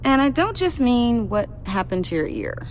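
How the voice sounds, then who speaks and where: Game role: playful, confident young woman inventor, highish voice, bouncy intonation, loves to tease. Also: sing-song, moderate pace, a little hiss or hum. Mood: playful, proud. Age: young adult. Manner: playful, self-assured. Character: cocky, teasing young female tech whiz. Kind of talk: video game voice acting.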